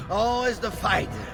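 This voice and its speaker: A power-hungry old Pope as a game villain. His high-pitched voice is heavy with gravel and swings widely. He is pompous and menacing over clear music. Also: exaggerated voice. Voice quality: gravelly, creaky. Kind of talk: theatrical video game villain acting. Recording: loud music behind.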